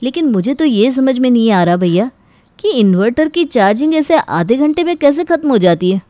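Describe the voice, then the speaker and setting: A woman voicing an easygoing young married man, the younger brother in an animated family story, speaking casually. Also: fairly high pitch, fairly quick, no room sound. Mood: puzzled, exasperated.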